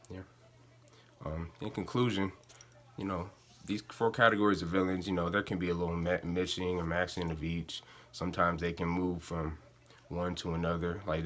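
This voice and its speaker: A man's voice: sits low, fairly bright tone. A young man giving an informative student speech in an informal, hesitant way. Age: young adult. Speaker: student speaker.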